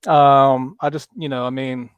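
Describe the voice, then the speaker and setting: A man hosting a casual sports chat show, talking in a relaxed, halting way with many fillers. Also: mid-range voice, clear, resonant tone, dry recording, phone-quality sound. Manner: relaxed, halting, lots of fillers, reads viewer comments aloud. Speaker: podcast host.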